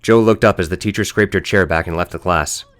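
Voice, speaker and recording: A man's voice: deep voice, a little fast, a bit bright, dry recording, clean studio sound. A man narrating an audiobook story in a scripted storytelling style.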